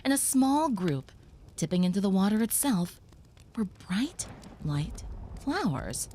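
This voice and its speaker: A woman voicing a gentle, protective young female vampire in an audio roleplay, speaking softly and reassuringly, sometimes whispering. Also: medium pitch, sing-song, slow, slight background noise.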